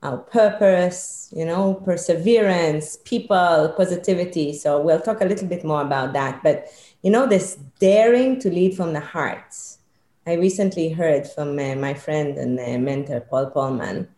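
A woman with a mixed British-Israeli accent speaks in a low, mellow voice, earnest and reflective, stacking ideas into lists. She is a podcast guest calling in remotely, and her voice rings in an echoey room. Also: measured pace. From born in Israel, British Israeli. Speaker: guest, leadership consultant. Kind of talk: podcast interview. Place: remote call from home or office.

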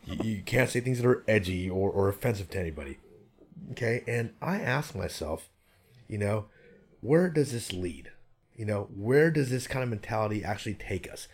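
Guy with a low husky voice, talks fast, blunt and swears a lot. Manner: blunt, profane, emphatic, animated. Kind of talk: casual chat, storytelling.

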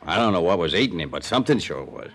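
An actor playing a defensive, streetwise hotel bartender in a 1950s radio drama, talking in a tough, clipped way. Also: male voice, low voice, very animated, fast talker. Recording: old radio broadcast with music.

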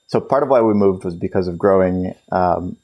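A man's voice: low-pitched, moderate pace, a little mellow, rough recording. A man in his twenties, born in Israel, casually telling family stories with frequent fillers. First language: Russian. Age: 20s. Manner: easygoing storyteller with fillers.

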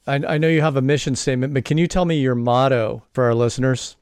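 A man's voice: mid-range voice, fast, a little mellow, clear, resonant tone, no room sound. A man hosting an interview, asking questions in an engaged, encouraging way. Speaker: interview host. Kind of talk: podcast interview.

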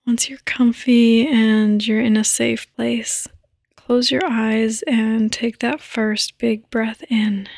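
A woman speaks very slowly and softly, guiding listeners through a sleep meditation. Her higher-pitched voice stays even and soothing, often slipping toward a breathy whisper with creaky edges. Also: no room sound, clean studio sound. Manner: soothing, gentle, unhurried. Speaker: podcast host and meditation guide. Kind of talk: guided meditation, sleep podcast.

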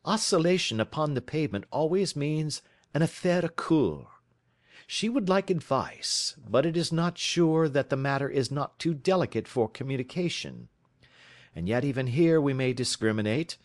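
A man reading aloud, voicing a sharp, self-assured detective who lectures with confidence. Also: on the high side, expressive, normal speed, voice pushed up, natural voice.

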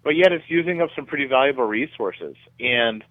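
A middle-aged man giving measured, conversational explanations as a guest in a radio interview, often repeating words as he goes. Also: medium pitch, lively intonation, no room sound, narrow, tinny audio. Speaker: guest researcher being interviewed.

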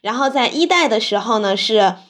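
Woman on a podcast, bit of a higher voice, chatting casually and explaining stuff. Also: lively intonation, moderate pace, fairly bright tone. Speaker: podcast co-host. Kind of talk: casual chat about fan culture.

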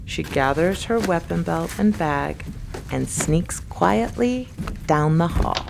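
A woman's voice: lowish voice, lively intonation, slow pace, gravelly, noisy background. A woman giving scripted dramatic narration as the narrator of a radio play.

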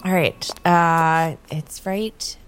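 A woman playing a casual, friendly young tarot reader in an improvised audio drama, talking in a halting, conversational way. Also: deep voice, steady tone, slight background noise, poor audio.

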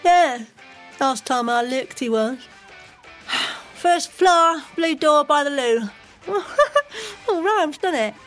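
A blunt office receptionist for an audio drama. Her high voice drags along slowly, offhand and bored, with faint music behind it. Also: expressive, fairly bright tone, heavily acted. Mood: blunt, cheeky. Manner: offhand, blunt, drawling, ungrammatical. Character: bored, blunt, unhelpful working-class office receptionist, young woman.

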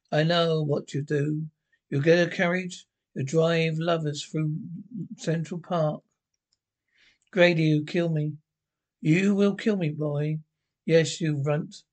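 A snarling, defensive little ex-jockey, played by a man in a one-man reading. He is bitter and boastful, and his voice sits fairly high. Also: a little mellow.

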